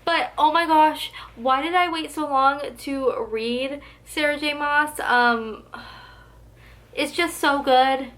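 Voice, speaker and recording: A woman's voice: sits high, slow pace, a bit bright. A young woman who talks about books to the camera in a gushing, chatty way, full of "like," with her voice slightly going. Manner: gushing, chatty, heavy use of "like". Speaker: book vlogger. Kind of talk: casual book tag vlog.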